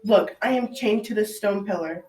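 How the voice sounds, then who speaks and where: A woman's voice: medium pitch, normal speed, a little mellow, soft background music, natural voice. A young teenage girl playing a frightened captive maiden in a school radio drama, earnest and anxious. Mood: startled, resigned. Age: young teen. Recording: echoey room, distant mic, faint noise.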